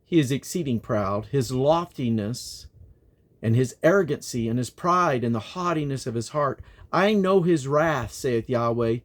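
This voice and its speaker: A man reading scripture aloud in a solemn, declamatory manner, with measured phrasing. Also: a little high. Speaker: Bible reader. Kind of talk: reading scripture aloud, King James text.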